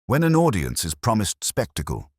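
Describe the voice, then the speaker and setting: A man voicing a shrewd, softly spoken police inspector in a mystery story, deliberate and given to cryptic, philosophical remarks. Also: lowish voice, wildly swooping pitch, husky, no echo, clean studio sound, voice pushed up, heavily acted. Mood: knowing, calm. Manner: murmuring, deliberate, aphoristic.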